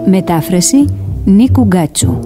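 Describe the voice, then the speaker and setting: A woman's voice: on the low side, animated. A woman announcer reading credits in a formal, scripted radio style. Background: loud music.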